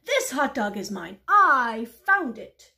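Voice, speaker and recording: A dramatic, possessive male pigeon acted out by a woman from a children's storybook. He stretches his words with grand emphasis and swings sharply in pitch, full of exasperation, with a creaky edge. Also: sing-song, darkish tone, exaggerated voice. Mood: indignant, possessive. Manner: emphatic, drawn-out, theatrical delivery. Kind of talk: children's picture-book character voice.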